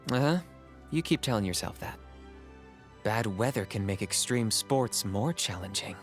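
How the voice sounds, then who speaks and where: A man plays a pragmatic young hunter for a video game. His voice sits fairly low and stays calm and dry. He delivers everything in a matter-of-fact, deadpan way. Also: normal speed, faint music behind, close to an everyday voice. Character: cool-headed, pragmatic young male saurian hunter. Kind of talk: video game character voice lines.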